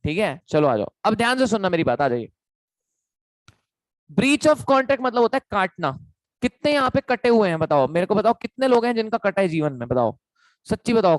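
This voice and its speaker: A young man with an Indian accent and a sore, hoarse throat, teaching a chatty live online law lecture and repeating points to his students. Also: high-pitched, no room sound, low-quality recording. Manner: chatty, repetitive, calls students beta.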